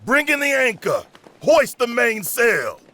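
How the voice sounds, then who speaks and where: A man's voice: very high-pitched, huge pitch swings, measured pace, bright, forceful tone, heavily acted. A man playing a harsh pirate first mate barking orders in an audio drama.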